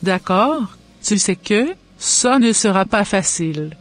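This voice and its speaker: A woman's voice: mid-range voice, animated, slow pace. A woman as a pious, cautioning mother. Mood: cautious, admonishing. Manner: admonishing. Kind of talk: scripted cartoon dubbing.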